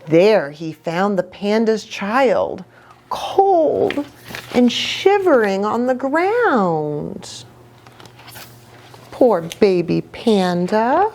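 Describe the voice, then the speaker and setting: A woman's voice: medium pitch, big pitch swings, drawn-out words, darkish tone, slight background noise. A woman reading a children's story aloud expressively, adding little asides for young listeners. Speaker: children's storybook reader, pastor.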